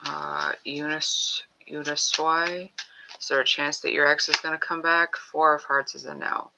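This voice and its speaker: A tarot reader of unclear sex hosts a casual livestream in a sharp, very bright voice with a lot of vocal fry. The delivery is blunt and conversational. Faint noise and clicks sit behind the voice. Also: medium pitch, level tone, a little slow, poor audio. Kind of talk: casual live stream tarot readings.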